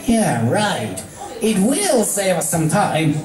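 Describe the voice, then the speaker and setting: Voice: mid-range voice, huge pitch swings, slow pace, a crowd in the background, voice pushed up. A person voicing a brash, impatient young female pegasus pony who pushes and coaches, in a story reading. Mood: scheming. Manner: pushy, exasperated. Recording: echoey room, distant mic.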